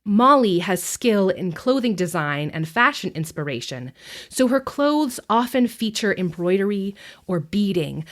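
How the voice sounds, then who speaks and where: A woman narrating a scripted film essay, with measured, deliberate phrasing and emphatic pauses. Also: mid-range voice.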